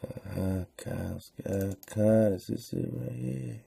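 A man's voice: on the low side, slow, vocal fry, warm tone, breathy edge. A man casually hosting an unscripted online show, rambling, repeating phrases and using slangy filler. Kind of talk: casual unscripted commentary while browsing on screen.

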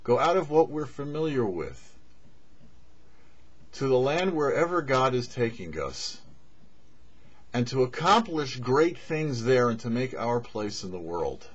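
Husky, animated middle-aged rabbi. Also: male voice, mid-range voice. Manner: measured phrasing with Hebrew terms mixed in. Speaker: rabbi, host of a weekly Torah show. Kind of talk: short religious teaching to camera. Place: indoors before a cloth backdrop. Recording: dull, muffled lo-fi audio.

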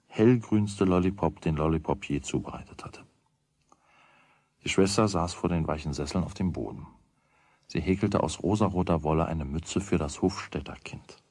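A man narrating a children's radio play as a wry, deliberate storyteller with a husky voice. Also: low voice, darkish tone, no echo. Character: wry storyteller of a boy's everyday troubles.

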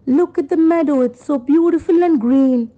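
A woman's voice: high voice, normal speed, phone-quality sound, voice pushed up, lightly acted. A woman voicing a cheerful sheep, enthusiastic and coaxing, in a children's story. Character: cheerful sheep inviting a friend to play.

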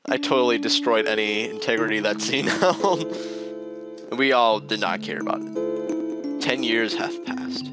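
A man's voice: lowish voice, a little slow. A man giving joking, rambling commentary while playing a video game. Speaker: let's play host. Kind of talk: casual gaming commentary. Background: game music.

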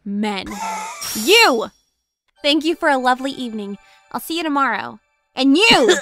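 A woman's voice: high-pitched, expressive, a little slow, soft background music, full character voice. A woman playing a fiery, sarcastic teen girl. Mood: scolding. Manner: flustered, then sharp and sarcastic. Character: teenage girl, fiery, quick to snap. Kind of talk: fan comic dub acting.

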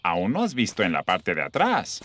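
A man playing a kindly, reassuring grown-up in a children's cartoon dub, speaking in a theatrical, drawn-out way. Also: sits low, huge pitch swings, very noisy. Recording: loud music over the voice.

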